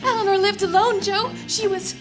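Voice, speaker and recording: A woman's voice: very high voice, steady tone, normal speed. A woman playing an earnest, anxious female character in an old-time radio drama. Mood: anxious, grave. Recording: music clearly behind.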